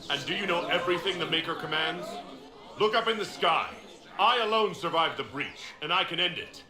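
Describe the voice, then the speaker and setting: An actor playing a young male mage hero in a game, calm and modest. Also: fairly high pitch, a little fast, a crowd in the background, lightly acted. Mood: defiant. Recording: echoey game audio.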